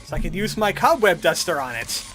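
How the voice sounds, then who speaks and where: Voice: medium pitch, very animated, brisk, a bit bright. A video game commentator narrating a playthrough with playfully sarcastic asides. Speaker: gaming video host. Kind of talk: Let's Play commentary. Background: adventure game music.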